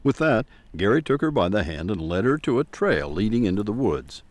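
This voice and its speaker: A man's voice: fairly low pitch, big pitch swings, brisk. A man narrating a scripted audio drama in a theatrical storytelling manner.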